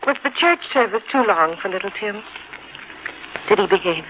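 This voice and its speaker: An actress playing a brisk, protective working-class mother in a 1950s radio drama. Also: mid-range voice, expressive, natural voice. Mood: brisk, fond. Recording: dull, slightly noisy old radio broadcast.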